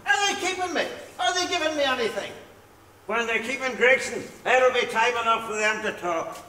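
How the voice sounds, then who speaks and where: Male actor doing a preachy drunk on a stage, high and tense, miked from far in a hall. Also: animated, moderate pace, bright, forceful tone, exaggerated voice. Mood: blustering. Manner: boastful, preachy, repetitive. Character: drunken blustering middle-aged Orangeman husband. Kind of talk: stage play acting. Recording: echoey hall, far from mic.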